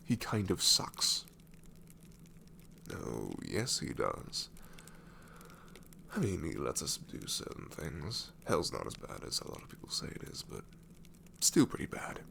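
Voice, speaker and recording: A man's voice: wildly swooping pitch, a little slow, pauses often, pushed and bright, slight background noise, exaggerated voice. A man playing an ancient, sassy shadow demon in an ASMR roleplay, wry and world-weary but tenderly reassuring, often slipping into a whisper. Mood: dry, musing. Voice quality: very deep, gravelly, often breaking into whisper.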